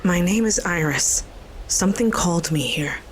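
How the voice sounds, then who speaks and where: A woman playing a gentle, earnest, frightened girl in a fantasy audio drama, speaking hesitantly and breathily. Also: fairly low pitch, animated, moderate pace, slight background noise, lightly acted. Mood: awed, hesitant.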